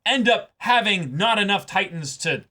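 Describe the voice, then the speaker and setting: Bright-voiced man in excited casual discussion. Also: sits high. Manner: halting, repeats words while thinking aloud. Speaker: co-host reacting to an anime episode.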